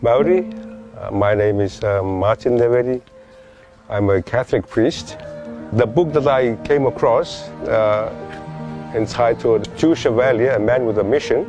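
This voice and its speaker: Casting: male priest, medium pitch, halting testimonial delivery over background music. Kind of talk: personal testimony. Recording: music bed behind the voice.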